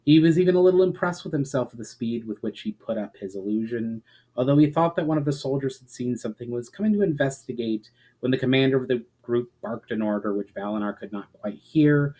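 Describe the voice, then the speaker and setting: A man reading a fantasy story aloud as a narrator, in a measured, expressive storyteller's manner. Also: mid-range voice, poor audio. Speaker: author reading fiction aloud.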